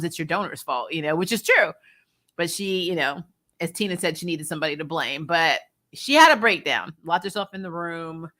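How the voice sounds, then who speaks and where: A woman's voice: fairly low pitch, sharp tone. A woman hosting a casual TV episode recap, talking in an informal, slangy, chatty way. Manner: informal, slangy, chuckles often. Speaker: host of a TV episode review show.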